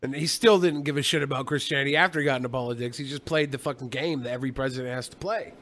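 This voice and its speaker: A man's voice: mid-range voice, rapid-fire, a bit bright. A man co-hosting a podcast with casual, profane, mocking commentary. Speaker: podcast co-host.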